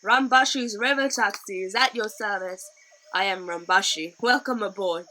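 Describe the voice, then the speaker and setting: A woman's voice: medium pitch, normal speed, sharp tone, slight background noise, lightly acted. A woman voicing a boastful, bumbling male river pirate captain in a storybook, with a proud, blustering delivery. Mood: proud, welcoming.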